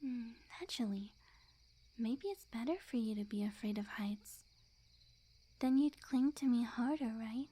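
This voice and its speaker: Woman playing a lovestruck yandere witch, ASMR roleplay, soft breathy half-whisper, mellow tone, fairly high pitch, teasing and possessive. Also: unhurried, pauses often. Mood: possessive, doting. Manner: soft breathy near-whisper, sweetly teasing with quiet menace. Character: sweet, possessive young witch, obsessively lovestruck and smug. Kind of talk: ASMR roleplay, intimate scripted monologue to the listener.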